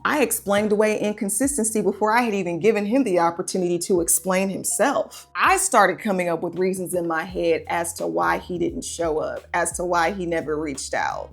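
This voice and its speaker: A woman's voice: fairly low pitch, vocal fry. A woman relationship coach telling a personal story in a conversational, confessional way, often putting questions to her listeners.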